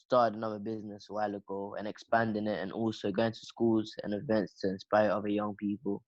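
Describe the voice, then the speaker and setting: A man's voice: lowish voice, flat delivery, a little fast, no room sound, muffled recording. A teenage boy, chatting casually as a podcast guest, rambling with lots of fillers, slang and laughs. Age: teens. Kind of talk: casual chat.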